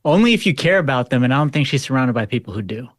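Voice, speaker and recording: A man's voice: medium pitch, expressive, racing, dry recording, studio quality. An American man giving casual political commentary as a panelist, emphatic and slangy.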